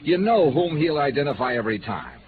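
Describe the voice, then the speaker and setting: A man's voice: fairly high pitch, big pitch swings, brisk, noisy background. A man playing a hard-boiled, persistent insurance investigator in 1950s radio noir, speaking in a clipped, wry, insistent way. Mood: pressing, insistent. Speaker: radio drama lead actor and narrator. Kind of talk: 1950s radio noir acting, first-person narration. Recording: tinny old radio broadcast with music.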